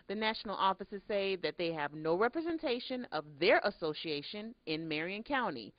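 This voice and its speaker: A woman's voice: big pitch swings, moderate pace, no echo. A woman working as a TV news reporter, reading scripted news narration. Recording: dull, muffled low-fidelity audio.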